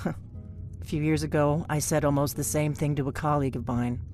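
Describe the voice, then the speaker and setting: A woman's voice: low voice, steady tone, brisk, a little mellow, soft background music, natural voice. Actress as a cautious female innkeeper spy in a video game; conspiratorial, urgent, faint score underneath.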